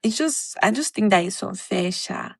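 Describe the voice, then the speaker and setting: A woman's voice: medium pitch, moderate pace, gravelly, breathy edge, no room sound, clean studio sound. A woman in her twenties from Cameroon, co-hosting a podcast and telling stories in casual chat, acting out the people she quotes. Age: 20s. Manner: animated storyteller, acts out quoted dialogue. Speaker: podcast co-host.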